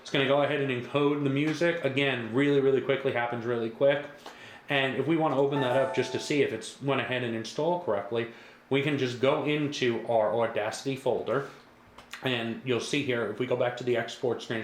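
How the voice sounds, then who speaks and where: A man demonstrates software step by step in a casual, reassuring way, repeating himself as he instructs. His mid-pitched voice sits in an echoey room with faint background noise. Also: steady tone, fairly quick. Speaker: product demonstrator.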